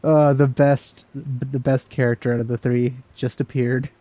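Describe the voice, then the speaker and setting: A man gives casual commentary while playing a game. His voice is mellow and medium in pitch, with slow, relaxed delivery. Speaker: let's play commentator.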